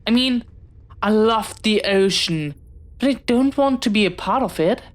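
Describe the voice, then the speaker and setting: A woman acting in a scripted audio roleplay as a bookish, dreamy young pirate, jumpy but earnest, speaking haltingly and dramatically straight to the listener. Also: mid-range voice, lively intonation, natural voice. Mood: wary, awed. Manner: dramatic, halting, swinging from theatrical threats to sheepish chatter.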